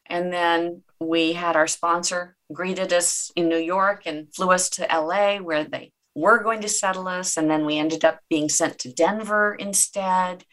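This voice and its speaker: A woman with a lowish voice whose first language is Czech, talking through personal memories in an interview. She sounds earnest and reflective, and she readily agrees with the host. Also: steady tone, measured pace, hardly any pauses, fairly bright tone, rough recording. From Czech Republic. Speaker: guest. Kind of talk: conversational interview.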